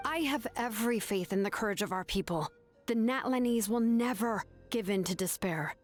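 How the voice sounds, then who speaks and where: Game casting: woman playing young warrior woman, higher voice, earnest and solemn, resolute, music behind her. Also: normal speed, natural voice. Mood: grave, earnest. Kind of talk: dramatic video game voice acting.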